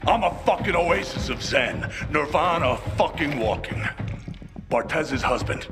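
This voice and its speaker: A man's voice: fairly high pitch, animated, unhurried. A man voicing an army lieutenant who is angry, sarcastic and curses a lot. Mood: furious. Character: bitter male lieutenant, furious, grieving. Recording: reverberant cutscene with music.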